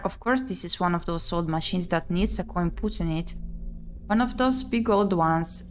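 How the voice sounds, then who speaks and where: A woman doing let's play commentary, reading visual novel text aloud expressively with muttered reactions. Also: on the low side. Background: game music.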